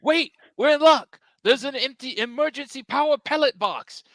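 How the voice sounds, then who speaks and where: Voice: sits high, normal speed, a bit bright, no room sound. A performer voicing a brash, boastful cartoon dad creature in an exaggerated, exclaiming table read. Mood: alarmed excitement.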